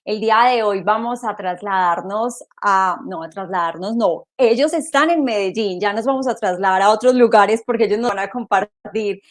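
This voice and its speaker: A woman's voice: mid-range voice, normal speed, few pauses. Woman hosting a faith interview show. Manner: warm devout greetings, loose self-correcting phrasing. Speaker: host. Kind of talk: welcoming interview on a faith program.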